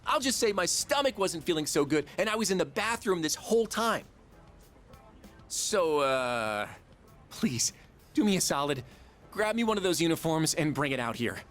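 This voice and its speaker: An actor playing a goofy, hapless teenage schoolboy in a video game, rambling in a sheepish, slangy way. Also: male voice, fairly high pitch, sing-song, moderate pace, a bit bright. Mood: pleading. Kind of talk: casual video game dialogue. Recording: music under the voice.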